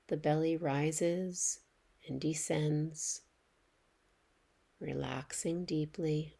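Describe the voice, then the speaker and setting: A woman leading a guided relaxation meditation as a yoga nidra teacher, speaking in a soothing, gentle, measured way with pauses. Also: sits low, gravelly, husky.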